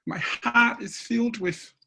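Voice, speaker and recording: A woman's voice: on the high side, steady tone, measured pace, gravelly, pushed and bright, breathy edge. A woman from Samoa moderating an online seminar panel, emotional and speaking with many fillers, over a video call.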